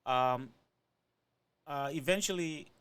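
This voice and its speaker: A man's voice: fairly high pitch, slow pace, a bit bright, clear, resonant tone. A man in his forties, from Trinidad and Tobago and Venezuela, rambling through a personal monologue as a podcaster and often ending thoughts with "right?".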